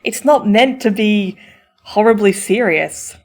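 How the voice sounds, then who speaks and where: A woman's voice: mid-range voice, slow pace, a little mellow. A woman speaking as a supportive friend in a comforting roleplay, chatty and informal, with a theatrical, encouraging manner. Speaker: audio roleplay performer playing a supportive friend. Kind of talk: comforting roleplay, chatty pep talk to the listener.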